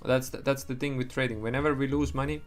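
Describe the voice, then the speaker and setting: Young estonian guy chatting on stream, mid-pitch voice, rambly with a lot of swearing, faint music behind. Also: fairly even intonation, quick pace. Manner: rambling, swears, frequent restarts. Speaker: livestream trader. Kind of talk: casual livestream chat.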